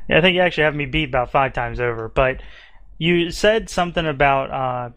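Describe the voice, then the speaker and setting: A man's voice: mid-range voice, fairly quick, fairly bright tone, no room sound. A man hosting a casual podcast, asking easygoing questions with plenty of fillers. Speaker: podcast host.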